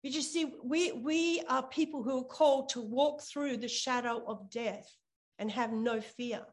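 A woman preaches as a pastor to her church in a fairly high-pitched voice. She is earnest and emphatic, circling back to key phrases and restating them for weight as she moves between prayer and sermon. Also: unhurried.

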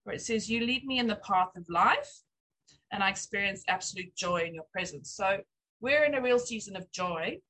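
A woman of forty-nine shares testimony and prayer with her church. Her voice is husky and mid-pitched, and she talks in a heartfelt, conversational, encouraging way. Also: expressive, moderate pace, pushed and bright, low-quality recording. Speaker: church member giving testimony and offering prayer.

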